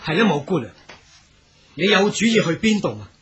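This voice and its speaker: An actor playing an earnest, proud young wandering swordsman in a radio drama, speaking in a theatrical, deliberate way. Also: sits high, sing-song, a bit bright, slightly rough, dull audio, exaggerated voice. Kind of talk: Cantonese radio drama acting.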